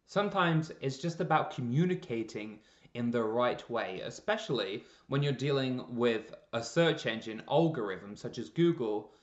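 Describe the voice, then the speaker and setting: Man narrating in a roomy, dull recording. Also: mid-range voice. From Canada. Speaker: presenter, freelance copywriter. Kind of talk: scripted promotional explainer narration. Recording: roomy echo, slightly dull lo-fi sound.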